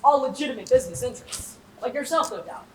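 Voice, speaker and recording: A woman's voice: on the high side, expressive, fast talker, natural voice. A teenage girl playing a nonchalant, cocky ex-convict thief in a comic heist play. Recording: echoey stage, distant microphone, noisy.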